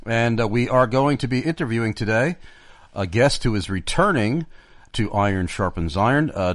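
A man's voice: medium pitch, dry recording. A man hosting a live radio interview from a studio, chatty and full of fillers. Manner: loud greeting, then chatty with many fillers. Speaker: radio talk show host.

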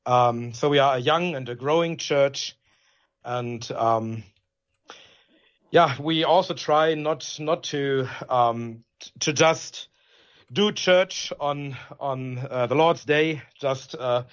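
A man's voice: mid-range voice, takes it slowly. German-accented male pastor, slightly muffled audio. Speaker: pastor, interview guest. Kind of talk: conversational interview answers.

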